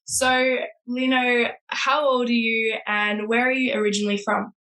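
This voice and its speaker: A woman's voice: fairly high pitch, little pitch movement, a little slow, bright, forceful tone, rough recording. Bubbly woman hosting a podcast. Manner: bubbly, enthusiastic, heavy use of "like" and "so". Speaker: podcast host. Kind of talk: upbeat podcast interviewing.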